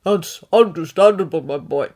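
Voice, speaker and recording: A woman voicing a big, gentle grown male hero who comforts his young student in a fatherly way. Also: fairly low pitch, moderate pace, natural voice.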